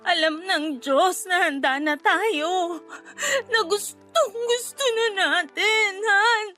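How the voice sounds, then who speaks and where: Sobbing wife in a radio drama, super high sharp voice, pleading and lamenting, music underneath. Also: female voice, takes it slowly, full character voice. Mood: bitter, tearful. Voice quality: tearful, sobbing voice. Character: anguished wife longing for a baby, tearful, fearful. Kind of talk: Tagalog radio drama acting.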